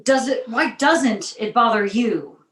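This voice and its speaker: Woman reading as fed up mom in her 30s, highish animated voice, echoey room, cartoon comedy script. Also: slow pace. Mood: annoyed. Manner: exasperated, protesting. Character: exasperated 35-year-old mother of a talking baby. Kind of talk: live table read of an animated comedy script. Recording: echoey, distant from the mic.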